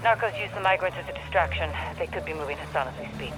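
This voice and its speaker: An actor playing an urgent, businesslike surveillance handler directing field troops in a video game. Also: male voice, fairly high pitch, fast talker, lightly acted. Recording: very noisy scene with vehicles, sirens.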